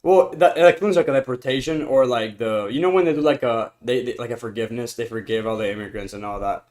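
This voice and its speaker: A man in casual podcast talk, full of slang and easygoing agreement. His mid-pitched voice wanders through loose, restarting sentences. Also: fast talker, darkish tone.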